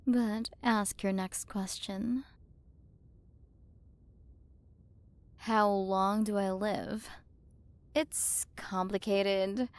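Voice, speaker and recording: A woman playing a haughty, curious alien galaxy queen in an ASMR roleplay, soft and condescending, possessive of her human. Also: mid-range voice, gravelly, no echo. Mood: curious, clinical. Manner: soft, condescending, teasing, at times whispered.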